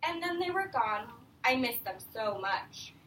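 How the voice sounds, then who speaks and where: Animated, high-voiced woman reading to young children. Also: a little slow. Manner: expressive, encouraging, patient with children. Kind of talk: animated picture-book read-aloud with questions to children. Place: indoor room with a group of young children. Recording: roomy, distant mic, faint background noise.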